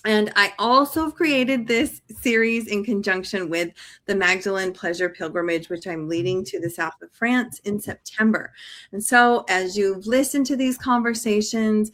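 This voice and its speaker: A woman's voice: mid-range voice, normal speed, fairly bright tone. A woman speaking as the host, introducing an interview series to viewers. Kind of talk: welcoming spoken introduction to an interview.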